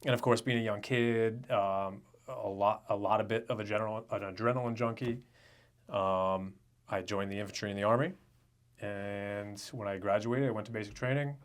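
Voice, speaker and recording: A man's voice: on the low side, fairly even intonation, moderate pace. A young man from Rhode Island co-hosting a podcast, talking in a loose, joking, unfiltered way. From Rhode Island, USA. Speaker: podcast co-host. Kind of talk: casual chat.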